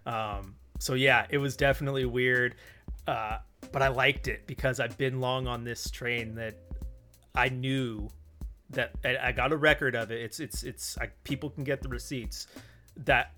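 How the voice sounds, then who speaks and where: A man's voice: mid-range voice, steady tone, moderate pace, bright, forceful tone, faint music behind. A man talking sports casually as a podcast co-host, arguing his opinions emphatically and repeating his points.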